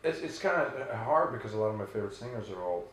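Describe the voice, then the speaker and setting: Low-voiced bass singer, very echoey. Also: male voice, quick pace, a little hiss or hum. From Canada. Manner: relaxed, rambling, many restarts and fillers. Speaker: rock band singer. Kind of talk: casual interview answers.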